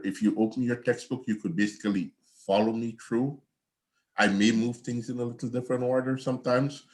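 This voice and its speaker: An older man from Guyana, an accounting professor giving a conversational, rambling online class lecture over a video call from home. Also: lowish voice. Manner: conversational, repetitive, digressive.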